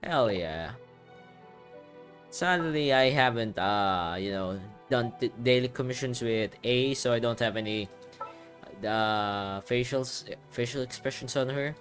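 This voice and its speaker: A man's voice: mid-range voice, measured pace, a bit bright. A young man giving casual, slangy gameplay commentary as the host of a playthrough. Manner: slangy, offhand. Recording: game music behind.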